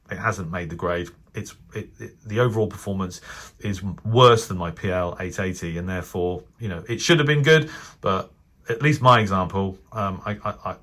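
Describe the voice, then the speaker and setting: A British man giving an unscripted product review as a hobbyist host, speaking in a frank, hesitant and measured way. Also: lowish voice, a bit bright. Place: indoors, off camera at a desk.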